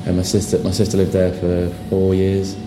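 A man's voice: sits low, moderate pace. Chatty young Englishman in a noisy bar. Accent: southern English. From England. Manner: relaxed, chatty, repeats words as he talks. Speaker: guest sign writer. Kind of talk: casual interview chat. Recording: echoey bar with loud noise.

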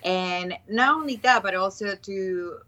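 Woman from Ecuador with bright sound. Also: medium pitch, a little slow. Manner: earnest, frequent restarts and fillers. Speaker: guest. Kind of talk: casual interview conversation.

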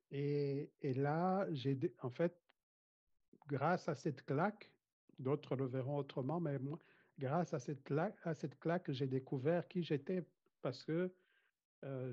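A man's voice: on the high side, dark tone. A man from Rwanda who grew up speaking Kinyarwanda, talking casually as an interview guest, hesitant, with many restarts and frequent laughs. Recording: dull lo-fi sound.

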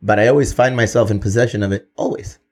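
A man's voice: on the low side, steady tone, fast, a little mellow. A man in his thirties hosting a podcast, joking and swearing in casual banter and dropping into Spanish phrases. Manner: profane, joking, slips into Spanish. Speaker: podcast host.

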